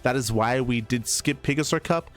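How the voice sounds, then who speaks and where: A man's voice: medium pitch, moderate pace, a bit bright. A man casting esports, winding down a stream in a chatty, rambling way, his voice still recovering after he lost it. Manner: chatty, rambling with fillers and restarts. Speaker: esports caster. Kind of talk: casual stream sign-off.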